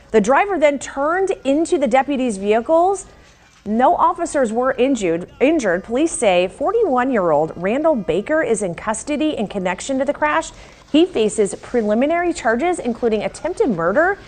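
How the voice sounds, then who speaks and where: A woman's voice: fairly high pitch, big pitch swings, moderate pace, darkish tone. An American woman reading local news as an anchor in a scripted newscast style.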